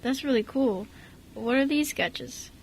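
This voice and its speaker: A woman's voice: sits high, animated, measured pace, slight background noise, low-quality recording. A woman playing a shy, curious young boy, a tentative and earnest big brother, in an amateur radio drama.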